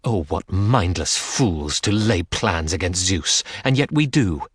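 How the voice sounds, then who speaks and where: A man's voice: low-pitched, lively intonation, measured pace, slightly rough, dry recording, full character voice. Casting: imperious, bitter goddess queen voiced by a man for an epic audiobook, scornful delivery, dry sound. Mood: bad-tempered scorn. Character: haughty, bitter queen of the gods. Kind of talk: dramatic audiobook character reading.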